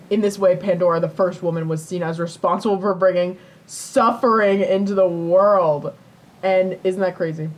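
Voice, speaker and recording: A woman co-hosting a podcast, casually retelling a myth in informal language with swearing and plenty of "like". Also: on the low side. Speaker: podcast co-host.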